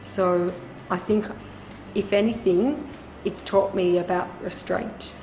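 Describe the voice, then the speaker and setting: A woman's voice: medium pitch, fairly even intonation, takes it slowly, a little mellow, a little hiss or hum. An Australian woman volunteering at a hospital, reflecting thoughtfully in an interview. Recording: roomy sound with music behind.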